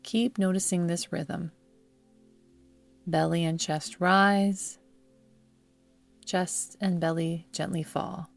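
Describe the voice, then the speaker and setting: A woman guiding a yoga nidra meditation in a soothing, measured manner, leaving long pauses between phrases. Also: lowish voice, fairly even intonation, vocal fry. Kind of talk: guided meditation narration. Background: background music.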